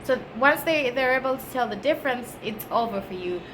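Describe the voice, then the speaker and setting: A woman's voice: fairly high pitch, animated, a little fast. A woman from Kenya with a Kenyan accent, chatting casually to camera as a travel vlogger and explaining things in a conversational way. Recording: clearly noisy background ambience.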